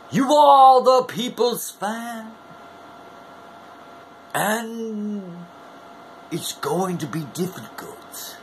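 A man performs a theatrical wrestling-style monologue as a showman. His fairly high voice leaps up and down dramatically, and he stretches his words out very slowly for effect. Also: a little hiss or hum, poor audio. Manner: bombastic showman, drawn-out words, sudden whoops. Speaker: wrestling fan video host. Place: small room at home.

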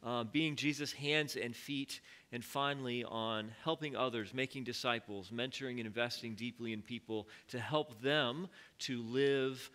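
A man leading a Bible study in church, teaching in a relaxed, conversational way with many fillers and questions to the congregation. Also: medium pitch, moderate pace, few pauses. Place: church sanctuary.

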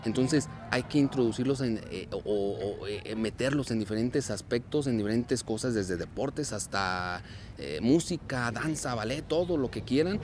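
A man in his thirties from Mexico, a dance and theater group director, speaking earnestly and at a measured pace in an outdoor interview, with frequent fillers and restarts. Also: medium pitch, expressive, a little mellow, a little hiss or hum. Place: outdoors at a street parade. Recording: outdoor clip with music behind. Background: festive music.

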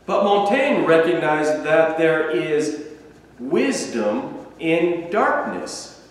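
A middle-aged man, a minister, giving a reflective sermon meditation in a slow, measured way, standing in a small room at home. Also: a little high, sing-song. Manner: slow, measured, contemplative delivery with long pauses. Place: small room at home, standing before a patterned wall hanging beside a candle. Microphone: camera a few feet away. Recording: echoey, roomy sound from a distance.

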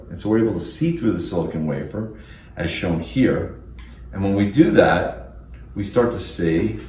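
Male technical presenter, American, middle-aged, very low voice, recorded with plenty of room echo. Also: darkish tone. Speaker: company representative presenting technical products. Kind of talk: technical product demo and explanation. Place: indoors in a small office meeting room. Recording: echoey room.

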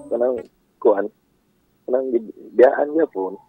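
A man with a Filipino accent calling in to a radio show, chatting casually in a hesitant, halting way. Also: mid-range voice, slightly rough. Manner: hesitant, halting, repeats himself. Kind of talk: casual phone chat. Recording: dull lo-fi phone call. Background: faint music.

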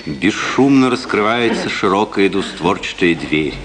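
A man's voice: sits low, lively intonation, unhurried, a crowd in the background. A man reading scripted narration for a 1950s radio play. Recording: noisy 1950s radio recording.

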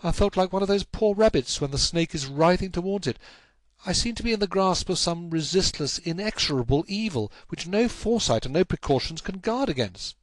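A man voicing an anxious, helpless young gentleman in a storybook, recounting his troubles in an earnest, measured, formal way. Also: medium pitch, lively intonation, dry recording, natural voice. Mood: despairing. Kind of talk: audiobook character acting.

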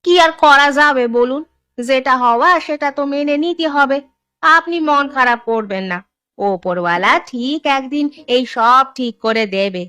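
Cartoon village woman , female actor: respectful, earnest, raised pitch, dry recording. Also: moderate pace, pushed and bright, clean tone, dry recording. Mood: consoling. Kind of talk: Bengali cartoon dialogue.

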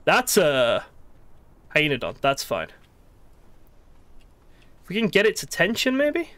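A man giving casual, sweary live commentary as he plays a video game, excitable and exasperated, muttering to himself and at the game's creatures. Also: a little high, slow pace, no room sound.

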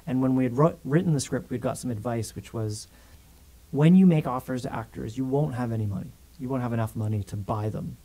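Animated young man with a mellow voice. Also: medium pitch, rough recording. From Canada. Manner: animated, gestures a lot with hands while talking. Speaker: filmmaker guest. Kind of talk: casual interview chat. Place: small studio with grey backdrop. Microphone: studio condenser mic on stand.

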